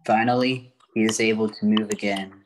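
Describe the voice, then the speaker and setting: A man with a fairly low voice serves as narrator of a radio play. He reads scripted scene descriptions. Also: steady tone, normal speed, background noise.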